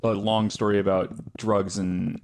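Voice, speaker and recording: A man's voice: fairly low pitch, flat delivery, unhurried, background noise. A man on a podcast panel chatting casually, making wry, joking comments. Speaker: podcast co-host or guest. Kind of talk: casual chat.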